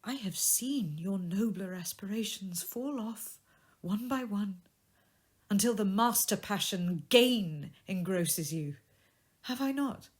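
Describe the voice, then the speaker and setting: Woman acting a slow, gentle, sorrowful young woman. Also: medium pitch, expressive, breathy edge, voice pushed up. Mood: gentle reproach. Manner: gentle, earnest, measured. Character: sorrowful, dignified young woman releasing her changed fiancé. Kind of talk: dramatised audiobook reading of a classic tale.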